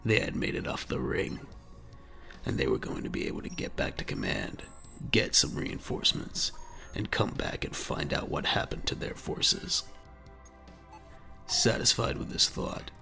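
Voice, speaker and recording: A man narrates a sci-fi audio drama in dramatic scripted style. His voice is low and heavily creaky, moving widely in pitch over background music. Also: wildly swooping pitch, measured pace, long pauses, bright, forceful tone. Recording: music under the voice.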